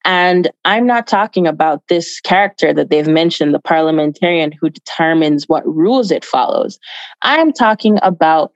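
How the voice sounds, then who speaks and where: A woman's voice: low-pitched, animated, moderate pace, dry recording, lo-fi sound. A woman from Jamaica giving earnest, emphatic answers as an activist guest in an interview, repeating phrases for stress.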